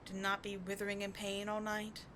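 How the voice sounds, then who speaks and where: A woman's voice: mid-range voice, completely flat delivery, normal speed, lightly acted. A woman playing a bitter, wounded villain who secretly cares, sardonic and exasperated, in a dramatic ASMR audio roleplay. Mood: stern, caring. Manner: sardonic, exasperated, emotionally intense. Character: bitter, wounded female villain secretly caring for rival sidekick. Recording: clearly audible background noise.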